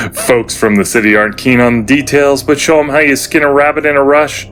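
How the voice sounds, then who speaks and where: An actor playing a calm craftsman in a fantasy audio drama who speaks in a measured, deliberate way. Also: medium pitch, close to an everyday voice.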